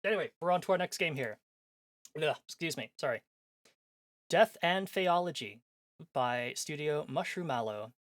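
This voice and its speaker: A man's voice: on the high side, lively intonation, moderate pace, long pauses, fairly bright tone. A man chatting casually as a livestream host, sarcastic and self-deprecating, with some swearing. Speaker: live streamer hosting visual novel previews. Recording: voice over background music.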